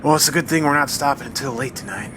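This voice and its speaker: A man's voice: fast talker, lightly acted. Man playing a plain-spoken sleuth amid train noise. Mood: measured, deliberating. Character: matter-of-fact male amateur sleuth in Old West. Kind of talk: period radio mystery drama acting. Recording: train rumble behind the voice.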